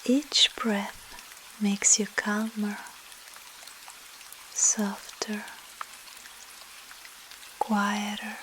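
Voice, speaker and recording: Russian accent woman doing asmr sleep hypnosis, soft breathy whisper, super slow, rain in the background. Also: mid-range voice, noisy background. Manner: slow, soothing, long pauses between short phrases. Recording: steady rain sound behind voice.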